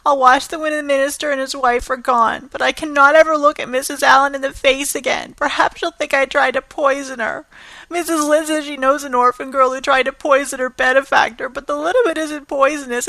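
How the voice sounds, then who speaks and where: An excitable young girl, dramatic and breathless, pleading in a high bright rush as a woman reads her part. The sound is dry and close. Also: a little fast, gravelly, no room sound, full character voice, poor audio.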